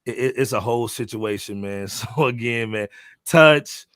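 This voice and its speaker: American man, middle-aged, podcast host, slangy casual banter, mid-pitched voice, dry close sound. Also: normal speed, a bit bright, dry recording. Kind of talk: casual chat, signing off a live show.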